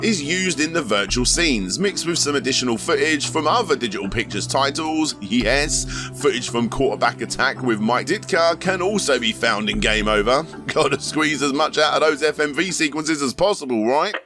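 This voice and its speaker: Male host, scripted narration, wry asides, mid pitch, faint music behind. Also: animated, moderate pace.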